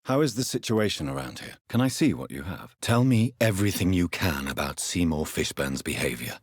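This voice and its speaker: An actor playing a grave, courteous vampire doctor in a video game, with a British accent, a husky voice and formal, measured phrasing. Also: male voice, sits low, expressive, no room sound, studio quality. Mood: measured, probing. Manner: formal, measured, polite, probing. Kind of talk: dramatic video game dialogue.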